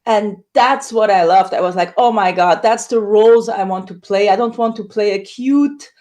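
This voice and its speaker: A woman, a soprano singer, telling her story in a casual interview with lively acted-out quotes. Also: moderate pace. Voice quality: high dramatic coloratura soprano voice.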